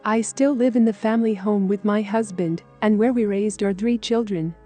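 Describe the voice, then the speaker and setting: A woman's voice: mid-range voice, moderate pace, mellow. A woman reading a scripted first-person account of a paranormal experience as a story narrator. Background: music.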